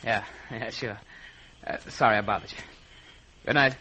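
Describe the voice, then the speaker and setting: A man's voice: fairly low pitch, steady tone, moderate pace, bright, forceful tone. An actor playing a nervous, guilt-ridden American man in an old-time radio horror drama, jittery and overwrought. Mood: uneasy. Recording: noisy vintage radio recording.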